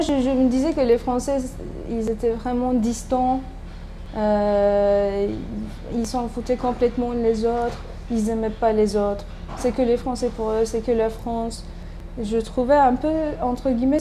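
A woman from Turkey, a native Turkish speaker, talking in a casual interview. Her voice sits a little high and sounds mellow and dark. She hesitates a lot and restarts her sentences, with faint noise in the background. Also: fairly even intonation, measured pace, poor audio. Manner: hesitant, many fillers and restarts. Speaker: interviewee, doctoral student.

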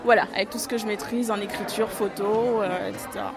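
A woman's voice: medium pitch, fairly even intonation, brisk, vocal fry, music in the background, crowd noise. A young woman in her twenties, an artist photographer, answering questions in a casual radio interview with many fillers and easy laughs.

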